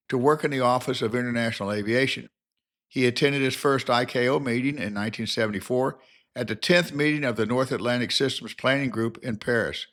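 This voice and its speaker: A man's voice: medium pitch, fairly bright tone. An older man narrating a scripted biographical documentary in a formal, careful reading manner.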